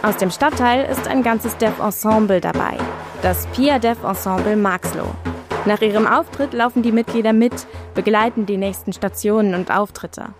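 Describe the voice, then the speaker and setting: A woman's voice: medium pitch, normal speed. A woman reporter reading a scripted radio feature narration. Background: music.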